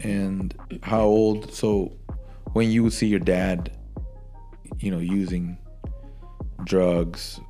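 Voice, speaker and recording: Male interviewer, deep register, informal conversational questioning, mostly English with occasional Spanish, light music underneath. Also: flat delivery, slow pace, pauses often, dark tone. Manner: drops Spanish words into English. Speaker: podcast interviewer.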